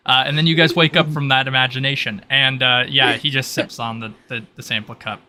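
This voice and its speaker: Guy game mastering a tabletop rpg, bright voice, bit of background noise. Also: mid-range voice, steady tone, a little fast. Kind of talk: improvised tabletop roleplay narration and banter.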